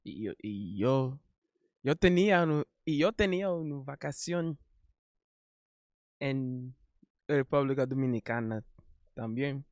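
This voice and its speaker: A Haitian man speaking Spanish as a learner, chatting casually on a stream, halting and correcting himself often. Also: medium pitch, expressive, a little mellow, dry recording, clean studio sound. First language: not Spanish. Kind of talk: casual chat.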